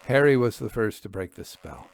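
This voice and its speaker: A man reading an old-fashioned ghost story in a deliberate, theatrical, suspenseful way, with dramatic pauses. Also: lowish voice, big pitch swings, vocal fry. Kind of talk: dramatic audiobook narration of a Victorian ghost story.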